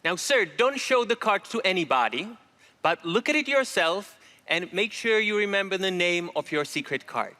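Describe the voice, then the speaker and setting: A man's voice: high voice, lively intonation, bright, forceful tone. A man performing as a stage magician, talking theatrical patter in a put-on Eastern European accent on a TV studio stage. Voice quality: theatrical, playful, quick. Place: large TV studio stage with audience.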